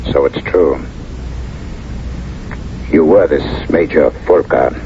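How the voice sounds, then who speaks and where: An actor in a 1970s radio drama playing a troubled, haunted war veteran, wary, defiant and halting. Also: male voice, extremely low pitch, creaky, full character voice. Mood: defiant, shaken. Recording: dull, hissy old radio recording.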